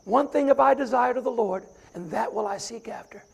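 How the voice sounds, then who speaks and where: Middle-aged deacon, raspy and husky, preaching a sermon. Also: male voice, very high voice, brisk, darkish tone. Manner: recites scripture from memory with rising emphasis.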